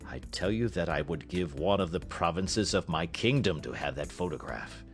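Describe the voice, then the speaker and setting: A man voicing an anxious, proud king in a grave, formal, deliberate manner, in an audiobook story. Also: low voice, expressive, soft background music, voice pushed down. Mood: solemn, earnest.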